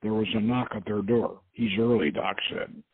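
A very husky man hosts and narrates an adventure story. He now and then trips over a word and repeats it. The sound is narrow and phone-like, with a dry, close feel. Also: on the low side, little pitch movement, moderate pace, no echo. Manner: stumbles and repeats words while reading. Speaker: host and author reading his novel. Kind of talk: dramatic reading of an adventure novel. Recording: muffled phone-like lo-fi audio.

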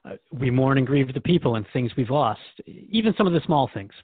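An earnest, curious radio host, played by a man asks questions with a touch of nervous worry. His voice sits in a middle pitch. It sounds dry and thin, with a narrow, phone-like band. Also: expressive, fairly quick, slightly rough, dry recording. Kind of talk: radio drama acting.

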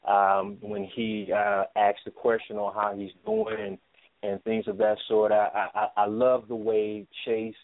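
A man answering interview questions as a theater producer, speaking haltingly and often repeating his words. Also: fairly low pitch. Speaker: stage play writer and producer. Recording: thin phone-line sound.

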